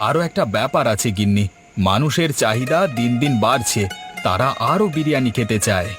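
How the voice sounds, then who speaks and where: A man's voice: mid-range voice, lively intonation, brisk, lightly acted. Guy doing cheerful humble village biryani seller, cartoon dialogue, polite and earnest, music going behind.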